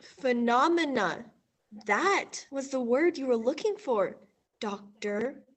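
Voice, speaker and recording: A woman's voice: sits high, lively intonation, takes it slowly, heavily acted. A young woman playing a gloomy, ominous lab assistant. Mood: correcting. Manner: prim, correcting, doom-laden. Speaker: student actor. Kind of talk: stage radio-play acting.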